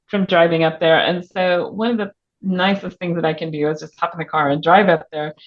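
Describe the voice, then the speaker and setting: Muffled, lower-pitched woman in casual interview. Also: fairly even intonation, fairly quick. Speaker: author guest on a book podcast. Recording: muffled, band-limited audio.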